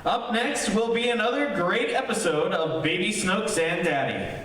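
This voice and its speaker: A man's voice: high voice, expressive, moderate pace, fairly bright tone. A man announcing a staged radio show in a formal presenter style. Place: stage in a large echoey hall. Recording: very echoey hall, distant mic, faint noise.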